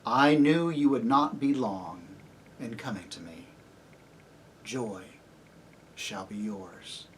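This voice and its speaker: A man voicing a great lion, a grave, commanding yet tender divine king in a storybook reading, speaking deliberately. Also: medium pitch, animated, a bit bright, a little hiss or hum. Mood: tender, solemn. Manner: slow, grave, deliberate, authoritative.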